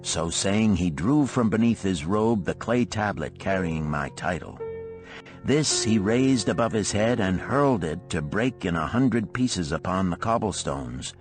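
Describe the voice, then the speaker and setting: Male audiobook narrator, low register, measured and solemn storytelling, faint music underneath. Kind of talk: scripted storybook narration of a parable.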